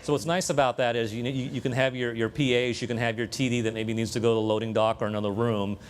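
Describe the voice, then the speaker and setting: A man's voice: mid-range voice, level tone, quick pace, a little hiss or hum. A man giving a conversational live technical demonstration to a room, explaining equipment as he presents it. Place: room with an audience at a live event demo.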